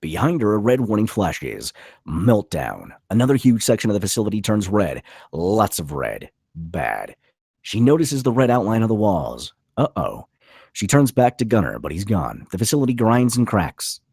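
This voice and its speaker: A man's voice: lowish voice, sing-song, moderate pace, gravelly, a little mellow, breathy edge, dry recording, studio quality. A man reading stage directions as dramatic scripted narration, in punchy, clipped phrases. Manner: punchy, clipped delivery with voiced sound effects.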